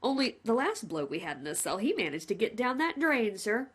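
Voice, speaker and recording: Woman playing a chatty male jailer, overly polite, rambling, bouncy pitch. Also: big pitch swings, fairly quick, husky. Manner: chatty, overly polite, says sir constantly. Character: chatty cheerful male prison warden.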